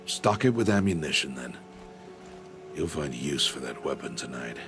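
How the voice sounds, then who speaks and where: Deep, grave outcast hunter. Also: male voice, animated, creaky, over music, full character voice. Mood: stern. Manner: grave, measured, terse, formal. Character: stern, solemn older male outcast hunter and guardian. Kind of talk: video game cutscene acting.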